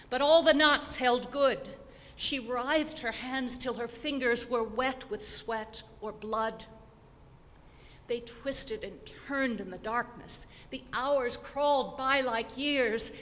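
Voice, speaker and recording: A woman giving a dramatic poetry recitation, theatrical and deliberate, swinging between hushed and declamatory delivery. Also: medium pitch. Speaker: poetry performer. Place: opera house hall, live performance. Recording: noisy recording in a reverberant hall.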